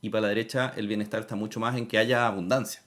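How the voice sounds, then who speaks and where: A man's voice: fairly low pitch, racing. A man with a Chilean accent hosts a conversational interview. His delivery is easygoing and informal, full of colloquial local expressions. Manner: relaxed, colloquial, uses local slang. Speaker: interview host.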